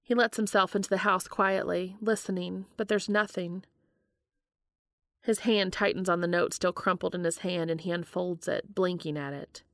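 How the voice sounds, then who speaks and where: Low-voiced woman narrating, very dry sound. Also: steady tone, moderate pace, a bit bright, dry recording. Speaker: fan fiction audiobook reader. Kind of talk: scripted story narration.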